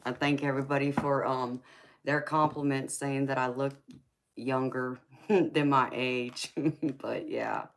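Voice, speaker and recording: A woman's voice: rumbling low, fairly even intonation, takes it slowly, slight background noise. A woman, chatting casually with live-stream viewers, laughing often and calling them honey. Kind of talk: casual live-stream chat reading comments.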